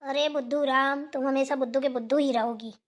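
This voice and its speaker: A woman voicing a bossy but affectionate Indian mother-in-law, brisk and scolding in a cartoon style. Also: sits high, fairly even intonation, lightly acted. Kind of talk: Hindi family cartoon voice-over.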